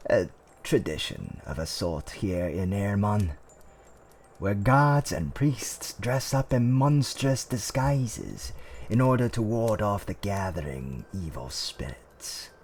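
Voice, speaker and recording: Man playing a slow, gentle fantasy innkeeper. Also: fairly low pitch, animated, a little hiss or hum. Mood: concerned, soothing. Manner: soothing, theatrical, old-fashioned polite phrasing with hums and pauses. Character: gentle, courteous male innkeeper of a fantasy tavern. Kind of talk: roleplay ASMR character acting.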